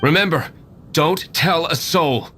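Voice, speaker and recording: A man playing an aloof, brooding young nobleman in a game, speaking in a formal, curt, deadpan way. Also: fairly high pitch, takes it slowly, faint music behind. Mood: firm, secretive. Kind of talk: English game dub acting.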